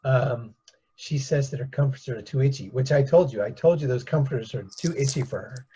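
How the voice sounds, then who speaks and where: A man's voice: mid-range voice, a little fast, dark tone, slightly rough. A man voicing Janice, an exasperated wife defending her mother, pleading with her husband.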